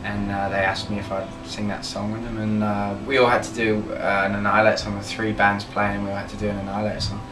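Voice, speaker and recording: Low, flat-voiced man in a roomy office. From Birmingham, England. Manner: steady, measured, many fillers. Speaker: band leader, guitarist and singer. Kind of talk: casual interview chat. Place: band label office. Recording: roomy office, distant mic, music behind.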